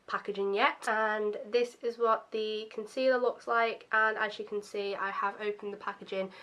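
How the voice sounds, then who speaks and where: A young woman with a slightly croaky voice, casually chatting through a makeup first-impressions video with lots of ums and self-deprecating asides. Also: mid-range voice, steady tone, normal speed, sharp tone. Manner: chatty and self-deprecating, many fillers like um and obviously. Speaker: beauty vlogger.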